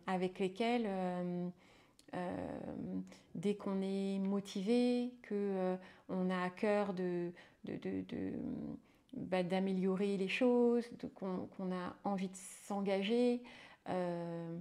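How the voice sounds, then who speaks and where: A woman's voice: medium pitch, steady tone, takes it slowly, mellow. A woman, a midwife turned hypnotherapist, talking in a casual interview with many fillers and restarts.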